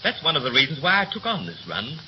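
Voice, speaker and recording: An actor in a 1930s radio play as a young third officer, brisk and slangy, chatty yet scornful and resentful. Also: male voice, fairly high pitch, huge pitch swings. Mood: bitter, indignant. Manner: brisk, breezy, clipped slangy speech. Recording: muffled, hissy 1930s radio recording.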